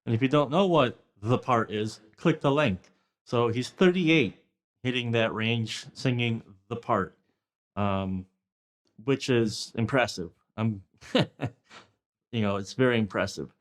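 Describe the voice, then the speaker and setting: A man's voice: mid-range voice, takes it slowly. Casual male host in his thirties. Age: 30s. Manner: casual, swears, thinks out loud. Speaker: podcast host. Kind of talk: casual interview questions.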